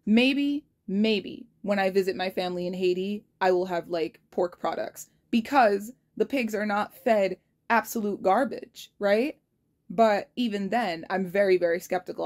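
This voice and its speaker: A young woman in her twenties talking casually to her phone in a kitchen, earnest and frank, reflecting out loud. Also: medium pitch. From United States. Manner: earnest and reflective, frank, spells out numbers carefully. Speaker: vlogger. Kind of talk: casual diary vlog. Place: kitchen indoors. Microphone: phone held low at arm's length.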